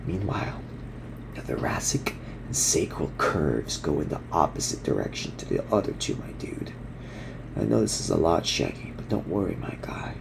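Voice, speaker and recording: Man doing a very deep, gravelly surfer-dude gorilla. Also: sing-song, normal speed, background noise, full character voice. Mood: laid-back, encouraging. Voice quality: gravelly, breathy, half-whispered. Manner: surfer slang, soothing instructional delivery. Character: laid-back male cartoon gorilla surfer dude instructor. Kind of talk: ASMR roleplay parody.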